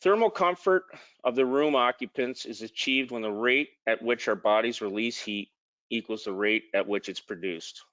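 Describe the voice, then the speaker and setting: A man's voice: mid-range voice, normal speed, fairly bright tone, no room sound, highs cut off. A man presenting a technical slide talk in an informal way, with frequent ums and restarted words. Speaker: product manager presenting.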